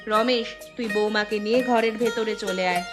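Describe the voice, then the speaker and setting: A woman voicing a middle-aged mother-in-law, matriarch of a landlord household, in an animated moral story. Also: fairly high pitch, brisk, music in the background, natural voice. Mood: delighted, welcoming.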